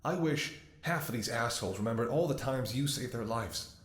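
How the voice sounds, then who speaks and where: A man playing a devoted, anxious doctor in an intimate audio roleplay, speaking to his sick lover in a tender, emotional, halting way. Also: medium pitch, lively intonation, natural voice. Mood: frustrated, pained. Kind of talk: intimate scripted ASMR roleplay acting. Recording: echoey room.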